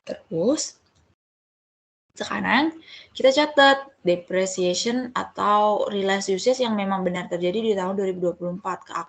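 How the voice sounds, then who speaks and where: A woman giving an informal step-by-step tutorial as a tutor, conversational and often correcting herself. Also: on the low side. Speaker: accounting tutor.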